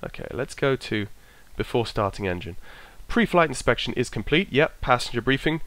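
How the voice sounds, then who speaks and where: A man with a bit of a cold, his voice croaky, casually talking himself through an aircraft checklist and trailing off as he goes. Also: medium pitch, sing-song, normal speed, a bit bright, no room sound. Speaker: flight sim streamer. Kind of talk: casual narrated walkthrough.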